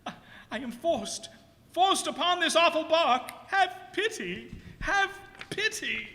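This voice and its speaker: A man's voice: very high-pitched, expressive, slow, bright, forceful tone, a little hiss or hum, heavily acted. A man playing a blasphemous, proud ship's captain under a curse, declaiming in archaic, anguished radio drama style. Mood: terrified pleading. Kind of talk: old-time radio drama acting. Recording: echoey hall, stage performance.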